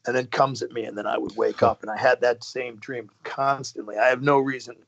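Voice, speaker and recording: Gravelly man with halting speech. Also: medium pitch, steady tone. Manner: halting, restarts and trailing phrases with short laughs. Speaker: podcast guest. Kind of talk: casual conversation. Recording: dull lo-fi podcast audio.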